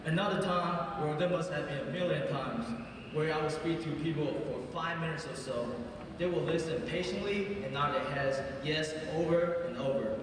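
A man's voice: on the high side, moderate pace. A young man giving a graduation speech at a podium, telling self-mocking stories. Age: young adult. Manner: storytelling, self-mocking humor. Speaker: graduating student speaker. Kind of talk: commencement speech. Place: large arena graduation ceremony. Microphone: podium mic heard from far off. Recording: echoey arena, distant mic, hiss.

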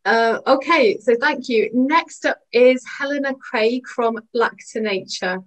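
A woman moderates a panel, her voice sitting a little high. She briskly thanks one speaker and hands over to the next. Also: low-quality recording. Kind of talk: introducing speakers.

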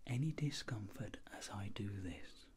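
A middle-aged man speaking in a breathy whisper, slow and reassuring, in an ASMR roleplay as a doctor examining a patient. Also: on the low side, lively intonation, noisy background. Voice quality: breathy whisper, soft-spoken. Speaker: ASMR performer acting as a doctor.

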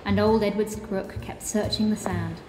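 A woman's voice: medium pitch, fairly even intonation, normal speed, music in the background. A woman narrator telling a theatrical, rhyming story in an audio drama. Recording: echoey, long reverb, background ambience noise.

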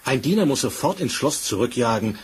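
A man's voice: mid-range voice, sing-song, brisk, natural voice. A man playing a gracious, commanding king in a fairy-tale radio play, stately and benevolent. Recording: noisy vinyl record transfer.

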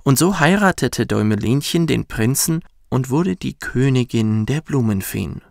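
A man narrating a children's bedtime story in a soft, soothing, measured way. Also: mid-range voice, animated, gravelly, no echo, clean studio sound. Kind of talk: gentle scripted storytelling for children.

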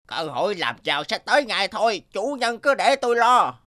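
A man voicing a sly, wheedling puppet god of wealth who serves as a smooth salesman in a cartoon. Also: high voice, sing-song, normal speed, full character voice. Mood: sly, assured. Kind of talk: cartoon voice acting. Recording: loud music behind.

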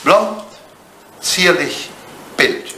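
Formal elderly butler, lively intonation. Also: male voice, on the high side, drawn-out words. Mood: discreetly gossiping. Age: older. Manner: formal, deferential, dryly understated. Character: elderly, devoted English butler, discreet, dryly gossiping. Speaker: actor in a radio play. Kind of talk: 1980s German radio drama acting. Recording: roomy, noisy old radio play recording.

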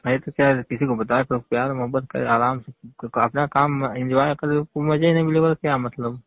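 A man's voice: medium pitch, little pitch movement, moderate pace, no echo. A man talks over a narrow, tinny phone line. He is casual and insistent, circling back with repeated questions. The sound is dry and close, with no room around it. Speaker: phone caller.

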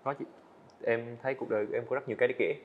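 Chàng trai trẻ nói nhanh, giọng hơi đục. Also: male voice, medium pitch, steady tone, phone-quality sound, a little hiss or hum. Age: young adult. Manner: earnest, fast-talking, frequent small restarts. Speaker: student animator guest. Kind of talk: casual interview answers. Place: indoor studio set.

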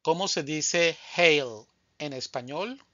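Male language teacher running a slow drill, bright tone, dull lo-fi dry recording. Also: on the high side, no room sound. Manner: slow, deliberate, says each answer twice. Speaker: Spanish teacher. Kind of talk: scripted vocabulary drill.

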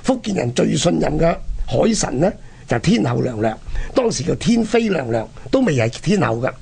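Husky, animated Hong Kong man telling history. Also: a little high, normal speed. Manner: colloquial, rhetorical questions. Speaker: radio history programme host.

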